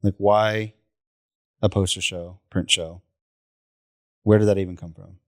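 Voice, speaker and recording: Low-voiced man hosting a casual chat. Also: unhurried, no echo, studio quality. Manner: relaxed, loose, apologetic about slips. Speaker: host. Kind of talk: casual round-table chat.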